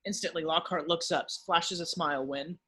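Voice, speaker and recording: Husky, slightly high-pitched male script narrator. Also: fairly even intonation, moderate pace, bright, forceful tone. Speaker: narrator reading stage directions. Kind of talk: scripted narration at a table read.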